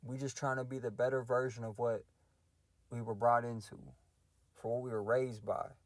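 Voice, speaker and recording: A young man in his mid-twenties rants to himself in a loose, slangy, reflective way, his voice sitting at an ordinary middle pitch. The sound is dry and close, with no room around it. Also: flat delivery, moderate pace, no room sound. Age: 20s. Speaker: podcast host ranting. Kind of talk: casual solo rant.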